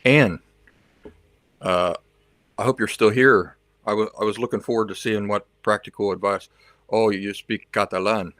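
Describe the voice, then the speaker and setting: A man's voice: on the low side, fairly even intonation, moderate pace, fairly bright tone, no room sound. A man hosting a livestream, chatting casually with viewers and reacting to their comments, sometimes switching into learner's Spanish. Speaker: livestream host.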